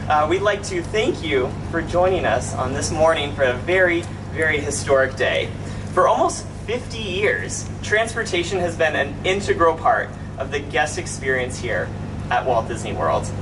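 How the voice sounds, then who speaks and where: A man emcees an outdoor ceremony in a lively, upbeat scripted delivery, his pitch swinging widely. He sounds distant from the mic, and clear background noise sits under his voice. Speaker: event emcee and company ambassador. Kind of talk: scripted public announcement. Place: outdoors at a public event.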